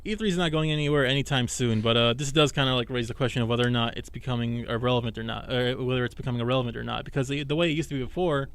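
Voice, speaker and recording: A man's voice: mid-range voice, steady tone, rapid-fire, few pauses, clean tone. A young adult man co-hosting a college radio video game podcast, explaining things casually and correcting himself as he goes. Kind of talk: casual discussion.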